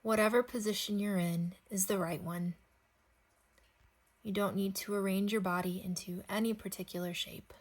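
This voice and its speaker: A woman leading a guided sleep meditation, speaking gently in unhurried phrases with long pauses. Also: medium pitch, steady tone.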